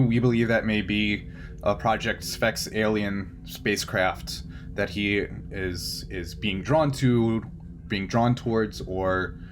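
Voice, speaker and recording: A man's voice: fairly low pitch, noisy background. A man narrating as game master of a tabletop roleplaying game, improvising and often searching for words.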